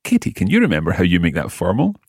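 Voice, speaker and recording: A man hosting a spoken language lesson, prompting a learner and pausing for listeners in a slow, patient way. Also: low voice, huge pitch swings, gravelly, a little mellow, breathy edge, dry recording, clean studio sound. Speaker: language course host.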